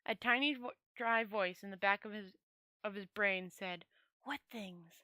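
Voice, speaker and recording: A woman's voice: medium pitch, moderate pace, bright, forceful tone, no echo, studio quality. A woman storyteller reading a fantasy novel aloud expressively, often stumbling over words and rereading phrases.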